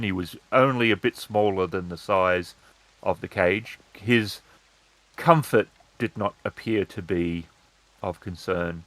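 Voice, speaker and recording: A man improvising the narration of a tabletop roleplaying game in measured, halting phrases. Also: sits low, a bit bright, dry recording, rough recording.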